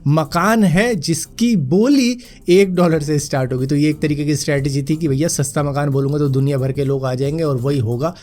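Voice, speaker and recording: Hoarse chatty man, higher-pitched voice. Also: steady tone, normal speed, a little mellow. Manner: chatty, addresses viewers as friends. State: hoarse throat after fever. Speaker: news vlogger. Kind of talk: casual Hindi news roundup.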